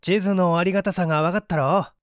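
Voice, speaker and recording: A man voicing a calm, self-assured gentleman detective in a story reading, measured and explanatory. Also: high-pitched, dry recording, studio quality, close to an everyday voice. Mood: knowing.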